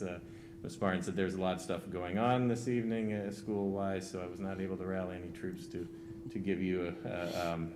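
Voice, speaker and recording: A man with a very low voice gives an informal presentation at a meeting. He searches for words as he goes. The recording has a clear hum and noise behind him. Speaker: school trip leader presenting. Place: public school committee meeting.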